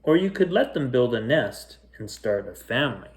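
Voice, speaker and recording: Man voicing storybook mother, gentle, firm, affectionate, patient, animated intonation. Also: medium pitch, moderate pace, natural voice. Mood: reasoning. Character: gentle but firm mother of a young girl. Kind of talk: children's storybook character reading.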